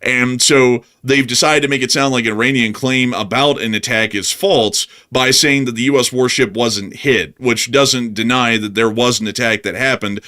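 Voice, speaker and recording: A man's voice: medium pitch, pushed and bright. A man co-hosting a live news stream, giving analysis in long, explanatory sentences. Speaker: co-host analyst.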